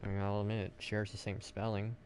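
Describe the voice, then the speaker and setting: Low-voiced man chatting over noisy gameplay. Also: completely flat delivery, brisk, a little mellow. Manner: rambling, offhand, hesitant. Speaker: video game streamer. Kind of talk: casual gameplay chat.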